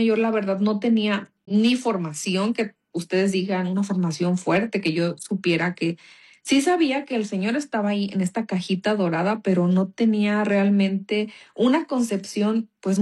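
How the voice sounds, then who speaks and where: A woman's voice: mid-range voice, a little mellow. Mexican woman telling a faith testimony. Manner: casual storytelling, many fillers. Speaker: guest giving a testimony.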